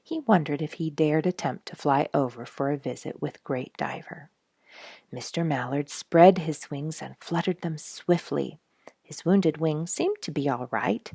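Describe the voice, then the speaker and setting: A woman with a low, slightly gravelly voice reads a children's story aloud. Her delivery is expressive and highly varied in pitch, with emphasis on playful words. The sound is close and dry. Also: no echo. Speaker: storybook reader and host.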